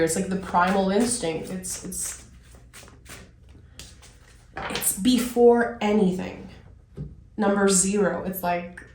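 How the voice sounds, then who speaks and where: A woman in her thirties with a raspy, sick-hoarse voice talks slowly through a tarot reading, musing and enthusiastic, with plenty of ums. She sounds like she is in an echoey room. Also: medium pitch. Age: 30s. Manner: warm-hearted, enthusiastic, musing aloud with many fillers. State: hoarse from illness. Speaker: tarot reader. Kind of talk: casual spoken tarot reading.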